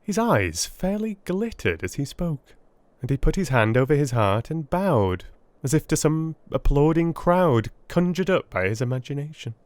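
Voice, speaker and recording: A man narrating a classic story in the first person, with theatrical, measured, literary storytelling. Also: on the high side, big pitch swings, darkish tone, no room sound. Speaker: audiobook narrator.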